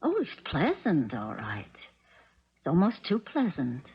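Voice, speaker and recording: An American woman playing an uneasy, solicitous stepmother in a 1970s radio drama, speaking gently and hesitantly. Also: low-pitched, huge pitch swings, a little slow, vocal fry, breathy edge, full character voice. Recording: muffled 1970s radio recording.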